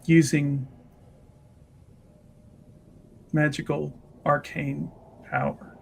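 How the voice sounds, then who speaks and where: Man playing a slow, solemn, creaky noblewoman mage. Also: on the high side, flat delivery. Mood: firm, grave. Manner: slow, deliberate, earnest. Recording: noticeable background noise.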